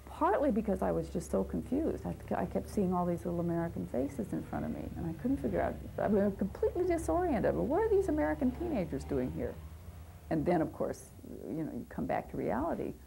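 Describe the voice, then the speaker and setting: A woman's voice: medium pitch, sing-song, a little fast, gravelly, mellow, slight background noise, rough recording. A woman advocate and teacher speaking earnestly and with passion in a documentary interview, sometimes restarting her sentences.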